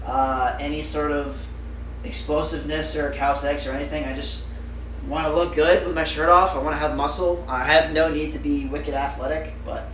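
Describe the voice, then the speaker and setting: A man's voice: on the high side, fairly even intonation, moderate pace, a bit bright, distant mic. A young man livestreaming, chatting casually with viewers in a rambling way full of fillers. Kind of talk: casual live-stream chat. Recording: roomy echo, off-mic, faint noise.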